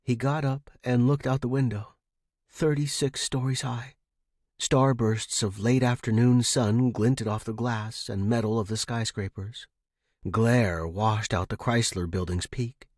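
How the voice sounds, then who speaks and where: A man's voice: mid-range voice, steady tone, measured pace, a little mellow, no room sound. Man narrating fiction, dry close sound.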